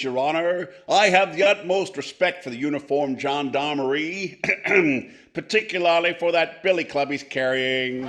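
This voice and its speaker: Florid old boozy vaudeville star, kinda high for a man, grand words and mumbled asides, old radio show. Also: a bit bright. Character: pompous, boozy old comedian movie star, florid insulter. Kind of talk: 1940s radio comedy acting.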